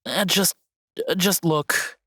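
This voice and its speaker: A young woman acting in an audio drama as a gentle, tech-savvy student friend with a tentative, conciliatory manner. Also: on the low side, slow pace, no echo, clean studio sound. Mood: hesitant.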